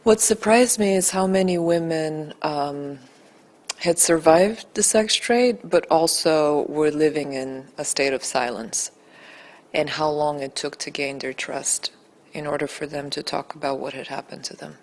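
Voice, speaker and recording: Low-voiced Bulgarian woman in an interview. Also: measured pace, darkish tone. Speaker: documentary director and photojournalist interviewee. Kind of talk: earnest interview answers.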